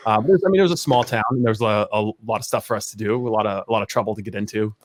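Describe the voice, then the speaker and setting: A man from rural Maine talks quickly in a lowish voice, close and dry on the recording. He chats casually as a podcast guest, joking drily at his own expense and swearing freely. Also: no echo. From rural Maine, USA. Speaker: podcast guest, a media producer. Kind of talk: casual interview chat, joking.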